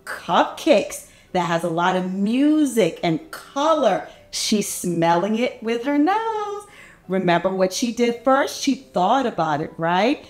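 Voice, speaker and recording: A woman reading a picture book aloud to small children in an animated, encouraging, exaggerated way, inviting them to join in. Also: medium pitch, slow pace, reverberant. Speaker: read-aloud storyteller for young children. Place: small furnished indoor room.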